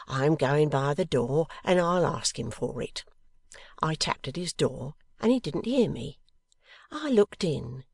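A woman voicing a warm-hearted, delighted old working-class wife in a classic novel reading, with folksy, exclamatory speech. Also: low voice, vocal fry, husky, no echo, pitched above the performer's own voice, exaggerated voice.

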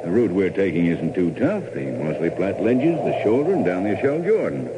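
An actor playing an affable, breezy professor in a 1950s radio drama. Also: male voice, low-pitched, big pitch swings, fairly quick. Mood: friendly, persuasive. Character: affable, easygoing professor joining a mountain climb. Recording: noisy old radio broadcast.

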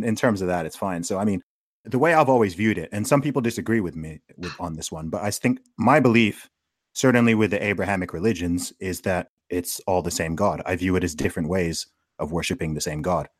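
A man's voice: sits low, no room sound, clean studio sound. A man in casual conversation, talking earnestly with many restarts, who grew up in Saudi Arabia.

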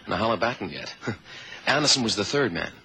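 An actor playing a detective's eager American sidekick in an old radio drama, with a folksy, exclaiming way of talking. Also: male voice, lowish voice, animated, quick pace, sharp tone, rough recording. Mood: impressed. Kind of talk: 1970s radio drama acting. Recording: faint hiss, old radio recording.

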